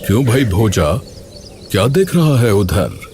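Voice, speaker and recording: A man voicing a stern but kindly senior palace kitchen overseer in an animated story, with a measured, questioning manner. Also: on the low side, background noise. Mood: confiding. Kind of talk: Hindi animated story dialogue.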